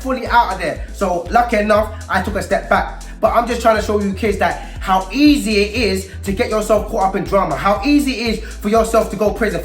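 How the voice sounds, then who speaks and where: A man with a London accent speaks in street slang, telling a personal story to young people. His delivery is animated and emphatic, slipping into quoted dialogue. The room rings with echo, and faint music plays underneath. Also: high voice. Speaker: storyteller and youth mentor.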